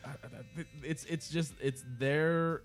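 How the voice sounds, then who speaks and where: A man's voice: fairly high pitch, steady tone, takes it slowly. A man co-hosting a podcast in casual chat, swearing freely and mimicking the people he argues with. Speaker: podcast co-host.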